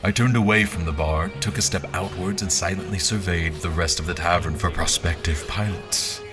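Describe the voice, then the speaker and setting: A man's voice: deep voice, moderate pace, lightly acted. A man playing a wary, brooding general who narrates his own fantasy tale with a wry, literary turn. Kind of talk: scripted first-person fantasy narration. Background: music.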